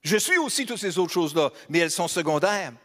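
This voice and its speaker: Québécois male pastor, high voice, chatty joking sermon, long church reverb. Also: sing-song, brisk, fairly bright tone. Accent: Québécois French. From Quebec, Beauce region. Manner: conversational, humorous, asks the congregation rhetorical questions. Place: church hall.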